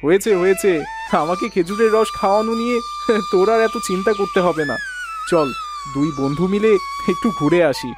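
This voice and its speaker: A man voices a loyal village buddy in a folk tale cartoon. His voice is high and highly animated, with a teasing, dismissive manner. Music is clearly heard underneath. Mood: teasing, concerned. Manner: brisk, teasing, dismissive of worry. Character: loyal, teasing, selfless male village friend. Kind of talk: Bengali fairy tale cartoon voice.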